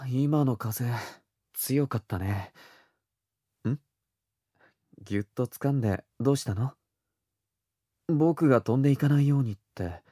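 Man acting a slow, soft-spoken, tender young boyfriend. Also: medium pitch, dry recording, studio quality, natural voice. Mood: affectionate. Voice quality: soft, breathy. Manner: slow, tender, murmuring, slipping into whispers. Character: gentle, teasing, affectionate young boyfriend, soft and sleepy. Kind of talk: intimate ASMR boyfriend roleplay in Japanese audio drama style.

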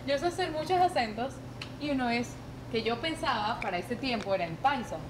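A woman's voice: a little high, lively intonation, moderate pace. A young woman, an acting guest on a podcast, telling stories casually and informally with English words dropped in. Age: young adult. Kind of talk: casual storytelling chat. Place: shop with shelves of goods, indoors. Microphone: podcast mic at table. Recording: echoey, noisy indoor shop.

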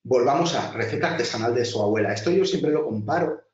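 A man teaches a design class over slides, chatty and full of restarts. His voice sits at an everyday middle pitch. It comes a distance into a roomy space, with dull, muffled audio. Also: lively intonation, quick pace, darkish tone. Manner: chatty, many restarts and filler, addresses class directly. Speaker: design instructor. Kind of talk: webinar lecture over slides.

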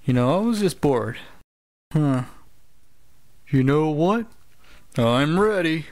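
A man's voice: a little high, expressive, slow pace, darkish tone, dry recording. A man voicing a grumbling, broke male cartoon show announcer who whines, sighs and makes sarcastic complaints. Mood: apologetic. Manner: whiny, complaining and sarcastic, with many sighs and groans. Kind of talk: comedic cartoon voice acting.

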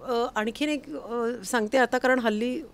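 A woman's voice: on the high side, measured pace. A middle-aged woman hosting a casual interview, thinking aloud as she frames her questions. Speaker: host, interviewer. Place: recording studio, indoors. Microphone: studio mic on a stand.

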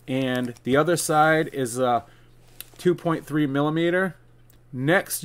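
A man in his forties with a slightly high voice walks viewers through a tech setup. He is casual and plain-spoken, explaining each step directly to the audience as a video creator would. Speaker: video creator, tutorial presenter. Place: indoor room, seated at a desk.

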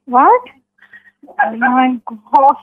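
A woman's voice: high-pitched, big pitch swings, drawn-out words, breathy edge, no echo. A woman, speaking politely and hesitantly in a phone conversation. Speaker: prank call victim. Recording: narrow, tinny phone line.

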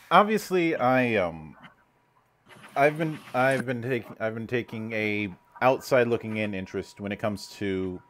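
A man's voice: medium pitch, a bit bright. A man hosting an interview, asking long, rambling questions with fillers. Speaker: interview host, vtuber. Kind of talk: casual interview over a call.

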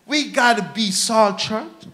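A man's voice: high voice, slow pace, pushed and bright. A man in his thirties from Guyana with a Guyanese accent, preaching a sermon into a handheld microphone with emphatic, repetitive exhortation. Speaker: pastor preaching. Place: church stage, indoors.

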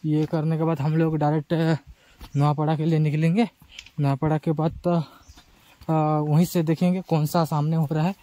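A man's voice: on the high side, mellow. Chatty young man vlogging outdoors. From Chhattisgarh. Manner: chatty, addresses viewers as guys. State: tired. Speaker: travel vlogger. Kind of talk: casual vlog narration while riding and hiking. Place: outdoors on rural roads and forest trails.

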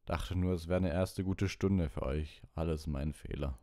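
A man's voice: low voice, moderate pace, mellow, no echo, clean studio sound, close to an everyday voice. A man voicing a huge, drunk, dejected groundskeeper in a storybook, with a thick, slurred voice. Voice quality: thick, muffled voice.

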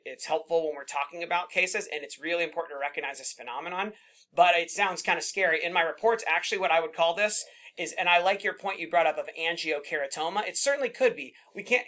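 A middle-aged man, a pathologist, teaching residents in a relaxed, conversational way and reassuring them often. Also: on the high side. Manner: conversational, reassuring, many fillers like "kind of" and "right?". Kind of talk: informal teaching at a slide session.

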